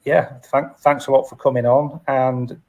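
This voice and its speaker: A man's voice: mid-range voice, flat delivery, a little slow, a little mellow. Hesitant British man hosting a casual chat. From United Kingdom. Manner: hesitant, self-effacing, repeats words. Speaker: host of a live chat.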